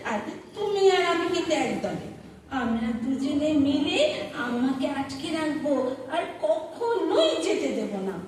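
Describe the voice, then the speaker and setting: A woman acting an eager, lonely little schoolgirl in a stage drama, with a childlike, pleading, excitable delivery. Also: measured pace. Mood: excited. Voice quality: childlike, high voice. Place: large hall at a recitation festival. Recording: echoey hall, distant mic, faint noise.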